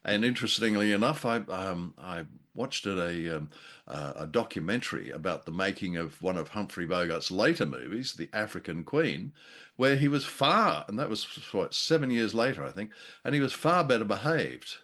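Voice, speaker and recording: A man co-hosting a podcast in a conversational, halting and thoughtful discussion style. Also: on the low side, animated, a bit bright. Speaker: podcast co-host. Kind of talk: conversational film history discussion.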